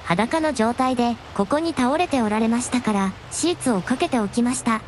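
Woman acting a high-voiced, gracious young dragon princess. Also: animated, normal speed, slight background noise, lightly acted. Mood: polite, grateful. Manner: refined, deferential, ladylike honorific speech. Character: young dragon princess, devoted, gentle, very polite. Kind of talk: Japanese radio drama acting.

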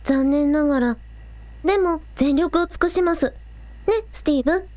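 A woman's voice: high-pitched, moderate pace, darkish tone, no echo, close to an everyday voice. A woman playing a spirited, earnest young village idol, polite with superiors and breezy with friends, in a radio drama.

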